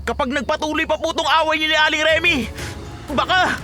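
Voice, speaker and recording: A man's voice: very high-pitched, steady tone, a little fast, sharp tone, soft background music, full character voice. A man playing a patient, respectful young farmer son with a Filipino accent, pleading and apologetic in a radio drama. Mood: urgent pleading. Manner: polite, apologetic.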